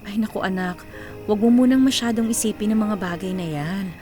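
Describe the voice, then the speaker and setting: A woman playing a caring, gently firm, hardworking widowed mother in a radio drama. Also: mid-range voice, a little fast, faint music behind, natural voice.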